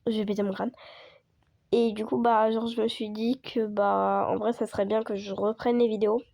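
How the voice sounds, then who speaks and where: A woman chatting casually over gameplay as a video creator, hoarse from a cold and rambling with lots of fillers. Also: mid-range voice, flat delivery, moderate pace, warm tone, dry recording, studio quality. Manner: rambling, full of fillers and restarts.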